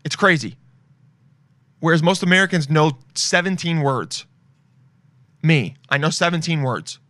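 Young guy podcast host, kinda high voice, rambly and jokey, dry close mic. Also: a little slow, a bit bright, no echo. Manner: rambling, jokey, reads reviews aloud. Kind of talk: casual solo podcast rambling.

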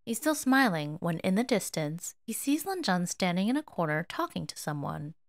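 Female narrator for audio fiction; lively melodic read, very dry recording. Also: on the low side, normal speed, no echo. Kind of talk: dramatic story narration.

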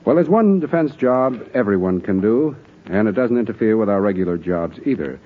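A man's voice: fairly low pitch, expressive, normal speed. An American man working as a radio announcer, reading scripted continuity in a 1940s broadcast style. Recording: thin, narrow-band 1940s radio recording.

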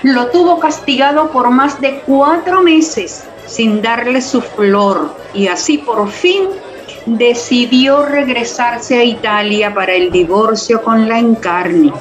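A woman's voice: fairly high pitch, slow, faint music behind, rough recording. A woman giving scripted narration for a radio drama.

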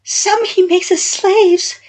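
A man voicing a tiny, doleful enchanted mouse with a squeaky voice in a storybook. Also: steady tone, slow pace, rough recording. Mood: mournful.

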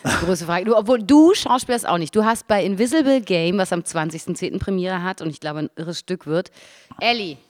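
A woman hosting a podcast interview in a studio, chatty and teasing, often cutting in with quick remarks. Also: fairly low pitch, no echo. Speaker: podcast host. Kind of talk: casual interview chat.

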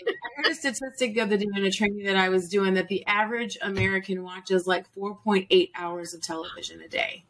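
A woman with a mid-pitched voice hosts a relaxed, chatty interview. She sounds informal and animated, and often rephrases her thought as she goes. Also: brisk, few pauses, poor audio. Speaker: interviewer, host and instructor. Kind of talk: casual chat interview.

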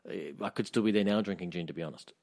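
A man's voice: low voice, rapid-fire, a little mellow. Hungover Australian man, podcast co-host, wry and teasing. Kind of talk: casual chat.

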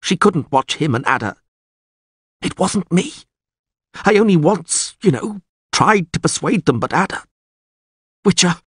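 High pitched snobby lord for an audiobook, worked up and strained, husky edge, swoops around. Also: male voice, a bit bright, no echo, studio quality, exaggerated voice. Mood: anxious, pleading. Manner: sneering, blustering, agitated. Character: haughty, furious nobleman, frightened and pleading when bound. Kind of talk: audiobook character acting.

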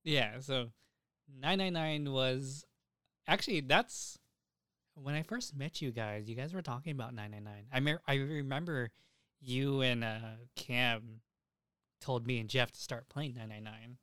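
Young male podcast host, smooth clear voice, close dry sound, emphatic, sweary casual chat. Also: medium pitch, unhurried, fairly bright tone, dry recording. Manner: profane, emphatic, repeats himself.

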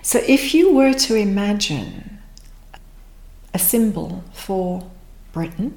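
A woman speaking as a therapist, slowly and reflectively guiding a conversation. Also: medium pitch, expressive, creaky, a little mellow, echoey room. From Pakistan.

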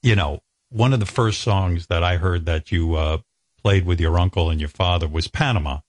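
A man's voice: low voice, moderate pace, dry recording. An older man hosting an interview, asking rambling, self-deprecating questions. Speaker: talk show host.

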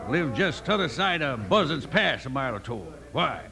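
Crusty old west sheriff, gravelly and swoopy, wary drawl, radio drama. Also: male voice, on the high side, very animated, normal speed, over music. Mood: grim warning. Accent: American Western drawl. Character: crusty, wary small-town western sheriff. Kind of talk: old-time radio western acting.

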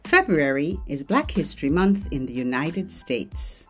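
A woman's voice: low-pitched, very animated, unhurried, soft background music. A woman hosting a children's program, speaking in simple phrases meant for small children. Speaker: host of a children's literacy podcast. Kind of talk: sing-along children's program narration with songs.

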